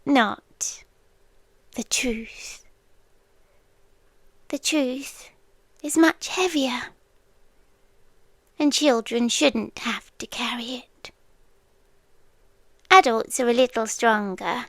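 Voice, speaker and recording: A British woman playing an ancient, tender and possessive female monster in an intimate ASMR roleplay, hushed and often whispering with lingering pauses. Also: on the high side, dry recording, heavily acted. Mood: grave, ominous.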